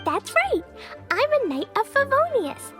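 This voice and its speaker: A very high, childlike voice of a brave little girl knight in a video game. She speaks with earnest innocence and lively swings in pitch. Light music plays behind her. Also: unhurried, exaggerated voice. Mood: determined, innocent. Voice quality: small childlike voice. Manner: earnest, refers to herself in third person. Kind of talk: scripted video game dialogue.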